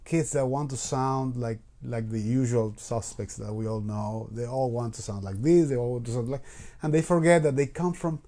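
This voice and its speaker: Halting male host with a mid-pitched voice, casual live-stream interviewer. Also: steady tone, warm tone. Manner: halting, with many fillers and restarts.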